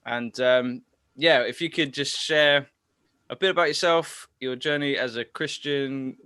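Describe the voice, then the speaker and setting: A man's voice: medium pitch, unhurried, sharp tone, clean tone, no echo. A man hosting an online interview show, chatty and teasing with many fillers. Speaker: host.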